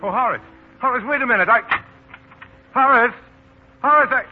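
A man's voice: very high voice, moderate pace, soft background music. An actor playing an earnest, conflicted newspaperman in 1940s radio drama style. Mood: pleading. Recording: tinny, noisy 1948 radio broadcast.

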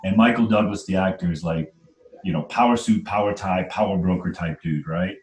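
A man's voice: low-pitched, fairly quick, a little mellow, reverberant, a little hiss or hum. A man in his forties from Trinidad, telling his life story as an interview guest in an earnest, emphatic way and often checking in with "right?". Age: 40s. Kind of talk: conversational storytelling.

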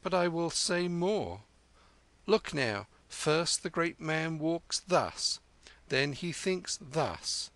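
A man's voice: fairly high pitch, expressive, drawn-out words, fairly bright tone, no echo, pitched above the performer's own voice, full character voice. A man reading aloud, voicing a clever thirteen-year-old street boy in a raised sing-song manner. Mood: mimicking.

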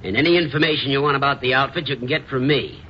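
A man's voice: medium pitch, fast talker, pushed and bright, close to an everyday voice. An actor playing a blunt, suspicious, menacing ranch foreman in a 1950s radio drama. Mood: domineering. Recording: muffled, hissy old radio recording.

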